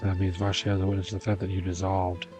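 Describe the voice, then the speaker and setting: Casting: male gaming host, deep voice, casual commentary over clear game music. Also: monotone, quick pace. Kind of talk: casual gameplay commentary and reading dialogue aloud.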